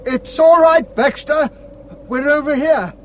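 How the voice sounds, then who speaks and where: An actor playing a prim, protective village rector with a genteel, fussy manner in a radio drama. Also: male voice, extremely high pitch, takes it slowly, muffled recording, slight background noise. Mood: weary, urgent.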